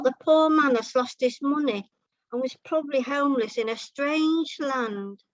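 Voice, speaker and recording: A woman's voice: high voice, no room sound. A woman reading a comic short story over a video call. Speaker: story reader. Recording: muffled, dull online meeting audio.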